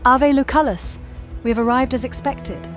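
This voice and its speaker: A woman's voice: sits high, moderate pace, music in the background, natural voice. An actress playing a poised, determined young Roman noblewoman in scripted game dialogue. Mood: composed. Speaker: protagonist of a role-playing game.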